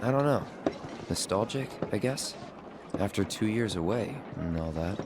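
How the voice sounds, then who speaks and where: An actor playing a reticent, guarded young mercenary in a video game cutscene, with terse, understated delivery. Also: male voice, fairly low pitch, animated, moderate pace, very noisy. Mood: hesitant. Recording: music behind the voice.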